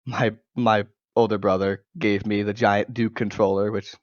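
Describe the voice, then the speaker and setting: A man's voice: lowish voice, little pitch movement, normal speed, highs cut off, noisy background. A man in his twenties with an American accent, chatting casually as a gaming podcast co-host through a cheap gaming microphone. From United States. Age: 20s. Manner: occasional stutter. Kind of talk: casual chat. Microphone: low-quality gaming microphone.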